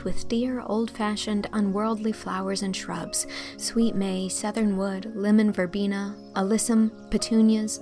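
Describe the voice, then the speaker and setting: A woman narrates an audiobook from a script. Her voice is medium in pitch with a touch of vocal fry, and soft music runs underneath.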